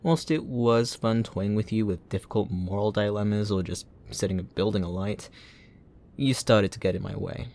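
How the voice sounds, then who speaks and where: A man playing a gloating, obsessive supervillain in a roleplay ASMR audio drama, teasing and possessive, with a theatrical, drawn-out delivery. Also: on the low side, gravelly, darkish tone, slight background noise. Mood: smug, menacing.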